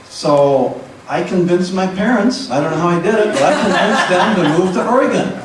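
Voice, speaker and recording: A man tells self-deprecating jokes in a loose, rambling way, his voice a bit higher than most men's. He sounds far from the mic, with lots of echo around him. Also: normal speed, over a noisy crowd. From Pacific Northwest. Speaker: comedian, the woman's husband. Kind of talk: stand-up routine. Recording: echoey room, mic from afar.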